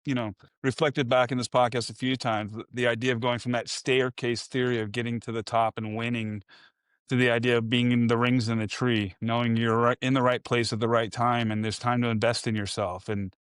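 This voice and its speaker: Smooth-voiced reflective podcast host. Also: male voice, medium pitch, flat delivery, fairly quick, fairly bright tone, no echo, studio quality. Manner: reflective, musing, long rambling questions. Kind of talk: conversational interview.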